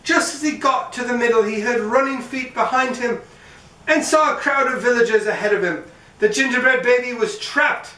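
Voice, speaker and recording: A man's voice: extremely high pitch, normal speed, pushed and bright. A man reading a children's storybook aloud as host, narrating expressively and playfully for young listeners. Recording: roomy echo, mic at some distance.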